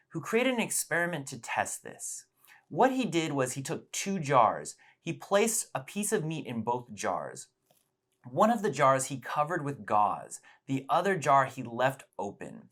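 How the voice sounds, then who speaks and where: Man teaching science with very lively intonation. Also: mid-range voice, measured pace. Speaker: science teacher presenting story time. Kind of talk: educational storytelling explanation.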